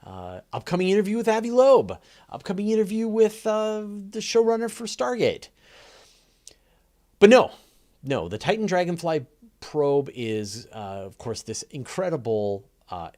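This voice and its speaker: A man's voice: high-pitched, big pitch swings, a little slow, darkish tone. A man hosting a casual live science question and answer session, explaining conversationally and thinking aloud with enthusiasm. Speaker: host answering audience questions.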